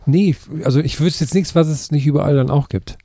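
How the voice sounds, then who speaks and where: A man's voice: a little high, a little fast, mellow, dry recording. A man co-hosting a podcast in casual conversation with friends. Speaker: podcast co-host. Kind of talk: casual chat among friends.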